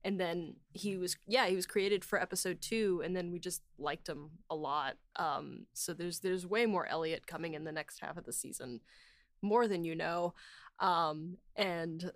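A woman's voice: on the low side, unhurried, dry recording, studio quality. A woman hosting a podcast in casual, unscripted chat, phrasing things informally and a little hesitantly. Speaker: podcast host and series writer.

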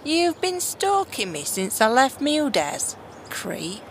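An actress playing a sardonic, flippant runaway heiress and swindler with a dry, slangy manner in a fantasy audio drama. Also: female voice, high-pitched, very animated, slow, slight background noise, full character voice.

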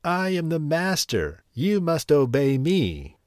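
An eager, mischievous young apprentice boy speaks in a raised, lively voice, as a man puts him on. The sound is dry and close, with no room around it. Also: medium pitch, measured pace, dry recording, pitched above the performer's own voice. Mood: excited. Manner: eager, excitable.

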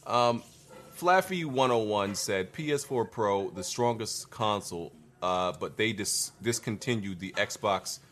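Sex: man. Role: livestream host. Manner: laid-back, reads chat messages aloud with dry asides. Pitch: fairly low. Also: a little slow, fairly bright tone. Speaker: host reading viewer super chats.

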